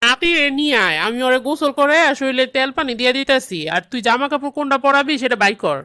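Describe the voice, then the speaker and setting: A woman's voice: high-pitched, fast, pushed and bright, smooth, no room sound. A woman voicing a bossy, fussing grandmother in a comedy cartoon, with a Bangladeshi accent and a commanding, insistent manner. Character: bossy, fussing grandmother, doting mother-in-law. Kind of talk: comedy cartoon dialogue in colloquial dialect.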